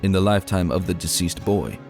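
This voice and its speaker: A man reading a scripted old ghost account as narrator, in a measured, formal storytelling manner. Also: low-pitched, expressive, a little mellow, soft background music.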